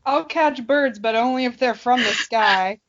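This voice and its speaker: A woman's voice: fairly high pitch, a little slow, background noise. A young woman making casual, joking commentary in a wry, bemused manner. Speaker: podcast co-host. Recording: dull lo-fi audio.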